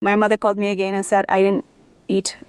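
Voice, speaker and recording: Lady guest telling family stories, quotes everyone, clear voice, no room echo. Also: medium pitch, completely flat delivery, quick pace. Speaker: interview guest. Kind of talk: personal storytelling.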